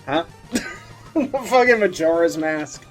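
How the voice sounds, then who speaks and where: A man with a high-pitched voice gives casual, off-the-cuff reaction commentary as a channel host. His manner is giggly and informal, with some swearing, and music plays faintly behind him. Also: lively intonation, slow. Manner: giggly, often laughing as he talks. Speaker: host of a meme review channel.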